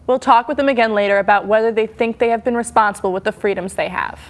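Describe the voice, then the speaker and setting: A young woman co-hosting a studio TV show, reading scripted lines with a clear, rehearsed delivery. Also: a little high, fast. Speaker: co-host. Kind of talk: scripted TV presenting. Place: indoor TV studio. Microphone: lapel.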